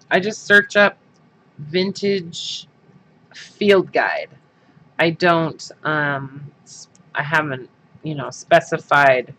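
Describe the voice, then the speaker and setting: A man hosting a crafting livestream talks in an unhurried, rambling way, full of ums and restarts. His voice sits a little high and sounds bright and forceful, with a stuffed-up nose. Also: slight background noise, poor audio. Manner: rambling, many fillers and restarts. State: stuffed-up sinuses. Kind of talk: casual livestream chat while crafting.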